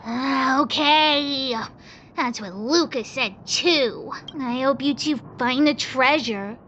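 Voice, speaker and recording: A woman voicing an excitable, innocent little girl in a video game, with a childlike, wide-eyed manner. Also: sits high, slow pace, highs cut off, slight background noise, exaggerated voice. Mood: obliging. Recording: music behind the voice. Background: game music.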